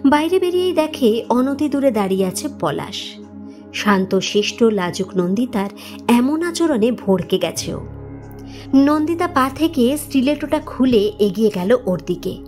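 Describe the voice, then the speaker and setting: A woman reading a scripted story as narrator in an expressive, dramatic manner. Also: on the high side, unhurried. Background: background music.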